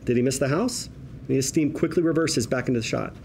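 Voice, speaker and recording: A man's voice: medium pitch, fast, vocal fry, darkish tone. Man reading screenplay stage directions. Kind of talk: scripted narration at a table read. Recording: table read room with background noise.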